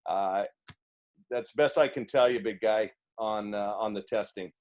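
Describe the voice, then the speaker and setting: Man, head coach, booming voice, earnest, halting restarts, video call press conference. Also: a little mellow.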